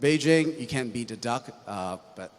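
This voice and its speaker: A man from Hong Kong answers informally as a panelist, in a mid-range voice. His delivery is halting and peppered with "like". Long hall reverberation surrounds him. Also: mid-range voice, expressive. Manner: halting, frequent "like". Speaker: angel investor on a panel.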